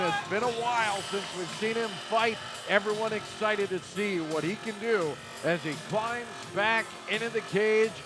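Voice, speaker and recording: A man calling a live fight as a commentator, with a measured, drawn-out announcer delivery. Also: high voice. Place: live arena event.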